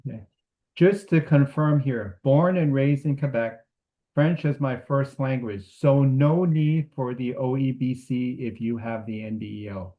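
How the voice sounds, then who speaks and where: Webinar moderator, man, halting delivery, reads out questions. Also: medium pitch, narrow, tinny audio. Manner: halting, with many restarts and fillers. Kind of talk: hosting a webinar Q&A.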